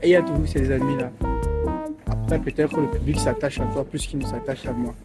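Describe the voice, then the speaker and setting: A man's voice: mid-range voice, quick pace. A man chatting casually in a vlog, teasing and joking with a friend. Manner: joking, teasing, lively banter. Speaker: vlogger. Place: in Russia, indoors and in shops. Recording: music playing under the voice.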